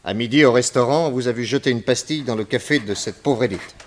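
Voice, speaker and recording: An actor playing an authoritative detective in a radio drama, formal, probing and insistent. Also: male voice, mid-range voice, quick pace, a little hiss or hum, natural voice. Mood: commanding, probing. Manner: commanding, formal, insistent questioning. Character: authoritative male American detective, brisk, probing and courteous.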